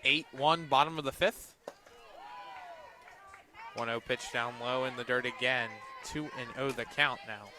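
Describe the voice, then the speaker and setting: A man doing live radio play-by-play of a softball game in short, present-tense calls that lift on the plays. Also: medium pitch, a little slow, long pauses, crowd noise, background noise.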